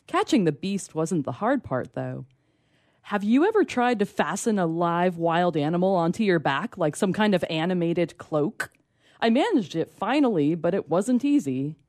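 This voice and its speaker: Woman as a lively, put-upon young apprentice. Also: on the low side, no echo, studio quality. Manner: wry, grumbling first-person storytelling. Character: hard-of-hearing, put-upon young apprentice to a mad scientist. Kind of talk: dramatic reading of comic fiction.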